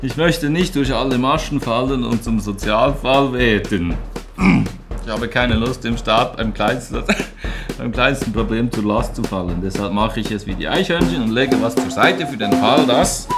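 A man's voice: medium pitch, moderate pace. A man in his forties reading an indignant letter aloud in an emphatic, repetitive, declamatory way. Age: 40s. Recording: music behind the voice. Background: music.

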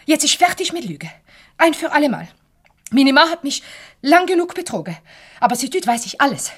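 An actress playing a jealous, indignant boss's wife with a French accent, imperious and halting for words in a radio comedy. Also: female voice, sits high, big pitch swings, a bit bright. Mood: accusing. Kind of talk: Swiss comedy radio play acting.

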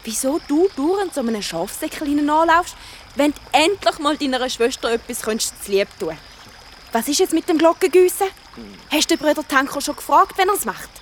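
A woman's voice: high-pitched, lively intonation, normal speed, slight background noise. A woman with a Swiss accent playing a bossy, reproachful young sister in a children's radio play. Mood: reproachful, nagging.

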